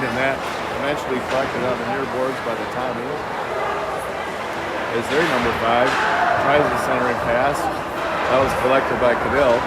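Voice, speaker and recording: Groggy, slightly hoarse man calling rink-side play-by-play, chatty asides, loud rink noise around him. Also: medium pitch. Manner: chatty asides, frequent uh fillers, clears throat. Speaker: amateur hockey play-by-play commentator. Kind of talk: casual youth hockey play-by-play. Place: ice rink stands.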